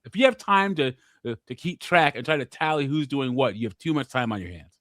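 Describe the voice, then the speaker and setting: A man's voice: medium pitch, lively intonation, brisk, fairly bright tone, no room sound, studio quality. A man co-hosting a call-in show, giving opinions with frequent stammered restarts. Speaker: podcast co-host. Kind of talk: casual call-in chat.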